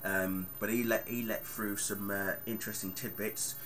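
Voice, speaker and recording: A man's voice: fairly low pitch, level tone, normal speed, fairly bright tone. A man, chatting casually and rambling on a vlog with plenty of fillers. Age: 30s. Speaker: vlogger. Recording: clear background noise.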